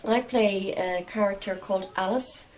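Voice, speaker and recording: A woman's voice: mid-range voice, normal speed, a little mellow. A woman giving a brief, informal introduction of herself and her acting role. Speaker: radio drama actress.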